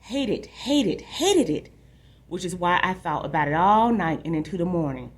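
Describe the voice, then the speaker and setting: A woman's voice: on the low side, lively intonation, moderate pace, husky. A woman reading a storybook aloud as a restless, imaginative young boy longing for adventure, in a dramatic, confiding way. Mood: frustrated, determined. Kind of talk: expressive storybook read-aloud.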